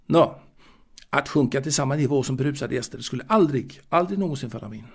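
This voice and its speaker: Man acting a prim, creaky-voiced waiter. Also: mid-range voice, animated. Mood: composed, proud. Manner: halting, repetitive, confiding, prim. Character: fastidious, proud male waiter and former seaman, reminiscing. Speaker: actor in a solo audio drama. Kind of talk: intimate dramatic monologue, told to a listener.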